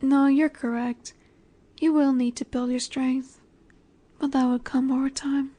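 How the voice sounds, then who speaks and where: Woman doing a nurturing snake lady for roleplay audio, soft n coaxing but in charge, high mellow voice. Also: level tone, measured pace. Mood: calm, instructive. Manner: gentle, coaxing, softly commanding, often dropping to whispers. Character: dominant, nurturing female lamia, a snake-woman monster caretaker. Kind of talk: intimate scripted roleplay audio.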